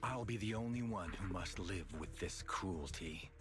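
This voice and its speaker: An actor voicing a cunning, cynical tiger-clan villain in a video game, speaking in a theatrical, formal, taunting way. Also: male voice, low voice, unhurried. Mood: grave, bitter. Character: cunning, cynical male tiger-clansman villain, grandiose and cruel. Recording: loud music behind the voice.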